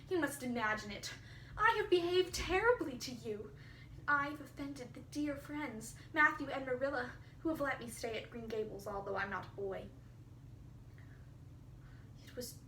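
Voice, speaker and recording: Storybook orphan girl woman performing: dramatic, effusive, earnest, gushing; highish voice, set back from the mic. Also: sharp tone. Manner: gushing, melodramatic, pleading. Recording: roomy, distant mic, faint hiss.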